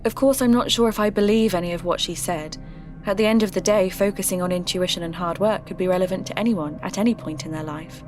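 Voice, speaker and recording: An actress playing an earnest, curious podcast host, narrating a folklore investigation in a reflective, confiding way. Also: female voice, on the low side, fast, music in the background, lightly acted.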